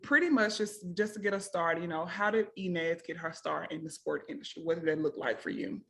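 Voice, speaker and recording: A woman's voice: fairly low pitch, a little fast, gravelly. Bubbly woman hosting an interview. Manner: bubbly, laughs often, repeats "very nice". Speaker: host.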